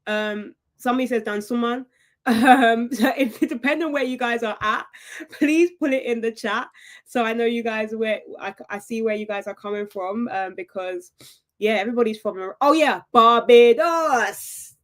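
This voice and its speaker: A woman's voice: fairly high pitch, moderate pace. Upbeat woman hosting a live webinar. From United Kingdom. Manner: upbeat, chatty, addresses viewers directly, frequent "right?". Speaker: property investment webinar host.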